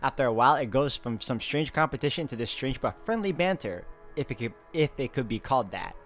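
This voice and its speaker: A man's voice: medium pitch, expressive, fairly quick, a bit bright. A man with a mid-range voice reads story text from a game aloud, with faint music behind him. He drops in casual, chatty commentary as he plays. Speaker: game walkthrough player reading along.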